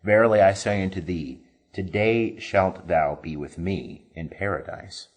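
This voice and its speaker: A man voicing a solemn, compassionate holy teacher with a grave, measured manner in an audio scripture reading. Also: low voice. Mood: solemn assurance. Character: solemn, compassionate male holy teacher facing his execution.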